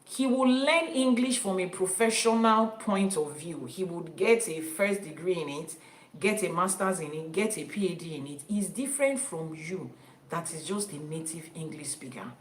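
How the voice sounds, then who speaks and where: A woman's voice: on the low side, expressive, moderate pace. Emphatic Nigerian woman teaching in an echoey room. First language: Yoruba. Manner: emphatic, repeats key phrases for stress. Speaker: Ifa priestess and teacher. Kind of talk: teaching talk to an online audience.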